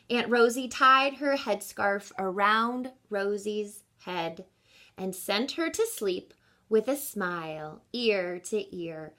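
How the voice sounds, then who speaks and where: A woman teacher reading a picture book aloud to children in an expressive, instructive way, with deliberate emphasis. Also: mid-range voice. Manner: teacherly, asks listeners questions, deliberate emphasis.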